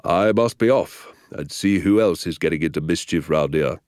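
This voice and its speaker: A man's voice: deep voice, moderate pace, darkish tone, smooth, no echo, studio quality, natural voice. A man voicing a jovial village police sergeant with a rural British accent and a florid, folksy, emphatic manner.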